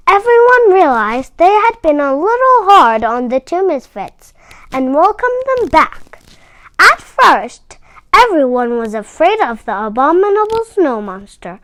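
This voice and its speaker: Lady reading a kids book out loud, slow and super expressive, close dry recording. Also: sits high, no room sound, poor audio. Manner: expressive, emphatic phrasing. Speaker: storyteller. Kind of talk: children's storybook read-aloud.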